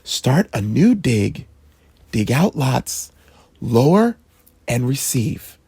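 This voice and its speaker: A storyteller voicing a calm father who explains the family history to his son. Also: medium pitch, slightly rough, no room sound, full character voice. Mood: explaining. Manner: soft, measured storytelling. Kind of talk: children's storybook read-aloud.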